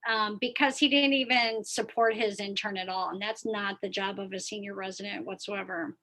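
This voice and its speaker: A woman's voice: medium pitch, steady tone, normal speed, pushed and bright, poor audio. A woman speaking in an earnest, emphatic way in an online group discussion. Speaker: workshop participant.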